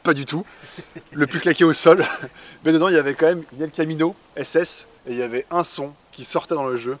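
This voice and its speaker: A man's voice: a little high, fairly quick. A man co-hosting a casual chat about cars and music, easygoing and enthusiastic. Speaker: co-host.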